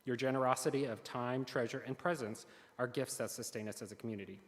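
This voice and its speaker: A man reading the offering announcements at a church service. He speaks earnestly and carefully at a middling pitch. His voice carries a long reverb from the sanctuary. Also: fairly even intonation, quick pace. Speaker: worship service lay leader.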